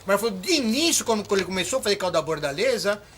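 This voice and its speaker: A man's voice: high-pitched, sing-song, quick pace, fairly bright tone, slight background noise. An older man from Brazil, demonstrating how to mix a farm spray in a folksy, encouraging way that speaks straight to the viewer. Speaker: orchard farmer teaching. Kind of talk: hands-on demonstration.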